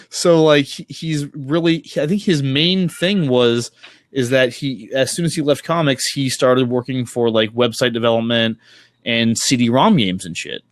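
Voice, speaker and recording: A man hosting a casual comics chat, speaking in a halting, rambling way with frequent self-corrections and casual swearing. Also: mid-range voice, no room sound, studio quality. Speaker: podcast host.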